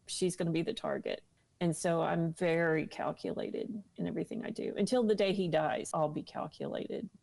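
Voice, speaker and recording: Lower-voiced woman giving earnest personal testimony. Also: normal speed, creaky, a little mellow. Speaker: interview guest.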